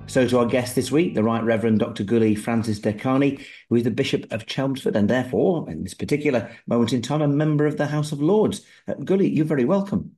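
A man hosting an interview podcast, asking courteous, gently probing questions of his guest. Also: mid-range voice, fast, hardly any pauses, soft background music. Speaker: podcast host. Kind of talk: conversational interview.

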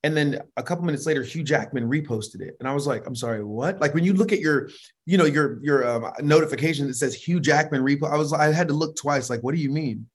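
A middle-aged man with a high voice, a worship leader telling stories in a relaxed, animated way in an interview, laughing often and restarting sentences. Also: quick pace. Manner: animated, laughs often, frequent restarts and "you know what I mean". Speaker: worship leader and vocal producer, interview guest. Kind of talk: relaxed conversational storytelling.

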